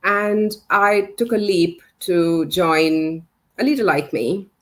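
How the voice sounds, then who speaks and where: A woman's voice: lowish voice, slow pace, a bit bright. A woman in her forties, not a native English speaker, telling her career story as an interview guest, with many fillers and restarts. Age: 40s. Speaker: interview guest, communications leader. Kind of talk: reflective career story in a live chat.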